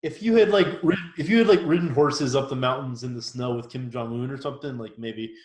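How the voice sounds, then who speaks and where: A man co-hosting a podcast, chatting casually with halting phrasing and dry teasing. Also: mid-range voice. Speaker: podcast co-host. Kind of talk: casual chat. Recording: echoey room.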